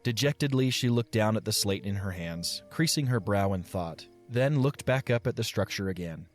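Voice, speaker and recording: A man narrating an audio drama in a measured, expressive storybook style. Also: fairly low pitch, soft background music.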